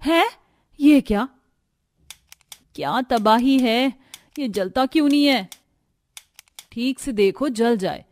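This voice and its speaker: A woman's voice: on the high side, slow pace, long pauses. A woman voicing a frustrated young woman in a cartoon story, complaining and exclaiming about household trouble. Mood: alarmed. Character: frustrated young daughters-in-law grumbling about shabby household. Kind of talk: Hindi cartoon story character voices.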